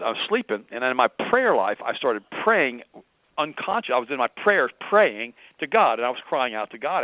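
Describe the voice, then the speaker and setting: Fast-talking man on a tinny phone line. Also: on the low side, no echo. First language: German. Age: 50s. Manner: rambling, repeats words, earnest. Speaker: guest. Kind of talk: personal story on a talk radio show.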